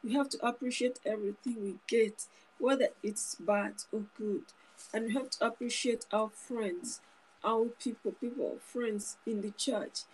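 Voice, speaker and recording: A woman's voice: a little high, steady tone, measured pace, husky, low-quality recording. A woman in her thirties giving an informal, earnest sermon to her phone camera in a small room, often repeating and restarting phrases. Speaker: preacher. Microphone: phone camera close up.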